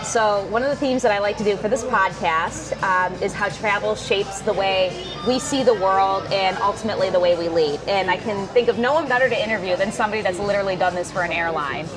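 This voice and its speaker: A woman's voice: medium pitch, brisk, hardly any pauses, over music. A woman hosting a podcast, reading a polished introduction and then asking questions. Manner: polished, friendly, brief backchannels. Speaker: podcast host. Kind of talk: scripted intro then interview.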